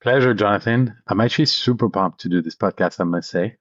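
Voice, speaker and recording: A man's voice: lowish voice, a little fast, no room sound, muffled recording. A man in his thirties from France, talking casually and enthusiastically as an interview guest, telling personal stories in an informal way. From France (Paris). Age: 30s. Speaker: podcast guest, venture capitalist. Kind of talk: casual conversational storytelling.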